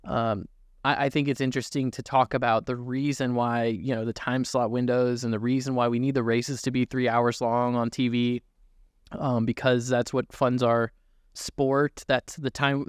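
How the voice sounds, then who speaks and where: A man's voice: medium pitch, flat delivery, normal speed, clear, resonant tone, dry recording, clean studio sound. A man co-hosting a casual racing podcast, reasoning aloud and analysing as he goes, rephrasing his points along the way. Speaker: podcast co-host and racing driver. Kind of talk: casual sports podcast discussion.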